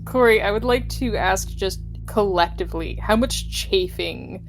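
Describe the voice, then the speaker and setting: A woman's voice: medium pitch, a little slow, vocal fry, poor audio. A woman playing a tabletop game, bantering casually in a wry, joking way. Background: music.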